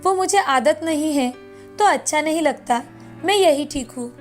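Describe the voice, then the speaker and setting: A woman's voice: sits high, unhurried. A woman voicing a meek, dutiful young housemaid in a cartoon story, with a deferential and modest manner. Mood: shy. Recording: music bed behind the voice.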